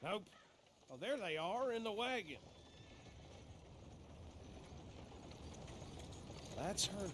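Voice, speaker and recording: A man's voice: high-pitched, big pitch swings, normal speed, fairly bright tone, slight background noise. An actor playing a laconic, grumbling lawman's helper in a western game. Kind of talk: dramatic game cutscene acting.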